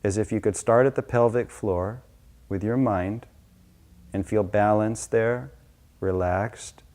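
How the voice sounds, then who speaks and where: A man leading a guided meditation as a teacher, giving slow, gentle, unscripted instructions with soft-spoken pauses. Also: lowish voice, fairly even intonation.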